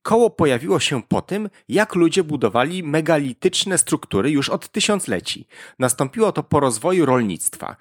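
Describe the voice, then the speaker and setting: Male explainer host, slightly high-pitched and husky, scripted educational read addressed to the listener. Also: sing-song, moderate pace, few pauses, dry recording, clean studio sound. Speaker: podcast host.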